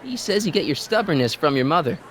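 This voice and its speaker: A man's voice: a little high, big pitch swings, fast, fairly bright tone. An actor playing an earnest, well-meaning American teenage boy in a video game, casual, hesitant and pleading. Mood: reassuring.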